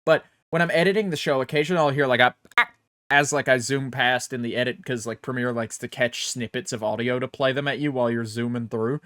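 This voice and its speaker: Brash male podcast co-host, loud and smooth-voiced, hiccuping, dry close mic. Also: medium pitch, fairly even intonation, fairly quick, no echo. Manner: loud, brash, swears often. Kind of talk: casual chat. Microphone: home studio microphone.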